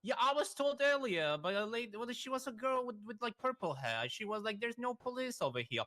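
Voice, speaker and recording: A man's voice: extremely high pitch, very animated, brisk, bright, forceful tone, full character voice. A man voicing a brash young British woman newcomer with a cocky, teasing manner. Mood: cheeky. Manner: cocky, teasing, then backs down. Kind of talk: roleplay acting.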